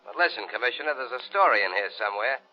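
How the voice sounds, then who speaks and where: An actor playing a pushy, persistent newspaper reporter with breezy insistence in a 1930s radio drama. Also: male voice, mid-range voice, animated, very fast, lightly acted. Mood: probing. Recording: dull vintage 1930s radio recording.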